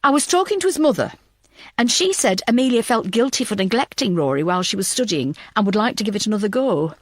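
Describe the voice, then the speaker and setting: A woman's voice: mid-range voice, very animated, fast, dry recording, studio quality. A woman voicing a tired, loyal male police detective with a matter-of-fact manner in an audiobook.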